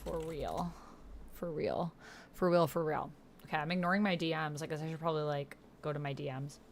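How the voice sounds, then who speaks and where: A woman's voice: deep voice, a little fast. A woman chatting casually as she plays a text roleplaying game, commenting and reading typed chat aloud. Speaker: player in a text-based online roleplaying game. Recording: steady background noise with keyboard typing. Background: computer keyboard typing.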